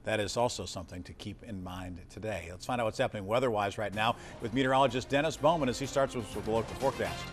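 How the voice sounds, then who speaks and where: Quick-talking man morning news anchor. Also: lowish voice, soft background music.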